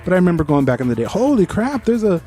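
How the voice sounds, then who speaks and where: A man's voice: sits high, big pitch swings, fast, warm tone, faint music behind. A man co-hosting a podcast, chatting casually about movies with slangy, enthusiastic fan talk. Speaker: podcast host. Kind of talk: casual movie review chat.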